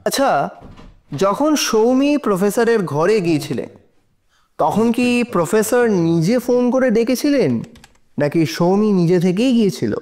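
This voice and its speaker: A man's voice: sits high, big pitch swings, moderate pace, darkish tone. A man playing a persistent, persuasive defence lawyer who questions formally and emphatically in a courtroom audio drama. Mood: formal, probing.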